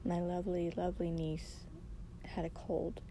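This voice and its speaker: Host woman, hoarse and quiet, voice cracking into fry, halting reflective monologue, noisy background hiss. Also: on the low side, monotone. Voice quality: raspy. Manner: soft-spoken, halting, reflective, many pauses. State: losing her voice, hoarse. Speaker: podcast host. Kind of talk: personal solo podcast monologue.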